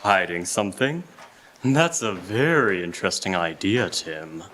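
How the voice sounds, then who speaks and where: A man playing a gentle, doting, poor Victorian father in a live radio play, with a tender, halting delivery. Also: sits low, lively intonation. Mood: teasing, delighted. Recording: noisy live stage recording with clicks.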